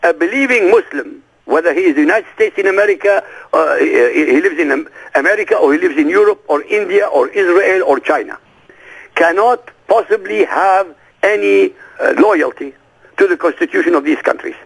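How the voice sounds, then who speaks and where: An insistent man who speaks Arabic as his first language sits in as an interview guest, pressing his points with one rhetorical question after another. His voice sits high and swings widely, rough with gravel and a husky edge. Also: lively intonation, normal speed, poor audio. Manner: forceful, emphatic, fires rhetorical questions. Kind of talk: radio interview.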